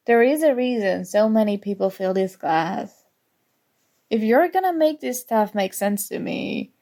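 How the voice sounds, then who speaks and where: A woman playing a flustered, teasing young student rival in an intimate ASMR roleplay, soft-spoken, mock-annoyed and hesitant. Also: mid-range voice. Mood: grudging, bantering.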